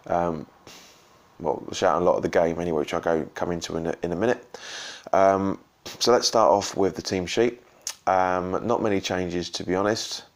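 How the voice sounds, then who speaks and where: A man with a slightly croaky voice giving a rambling, casual post-match reaction as a football fan vlogger. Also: sits low, fairly even intonation, moderate pace. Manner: rambling, full of ums and restarts. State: slightly croaky from shouting and drinks.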